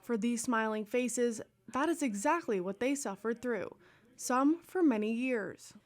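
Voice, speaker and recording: Young woman reporter, voice-over narration, a bit high-pitched, calm and deliberate. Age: young adult. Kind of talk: news package voice-over.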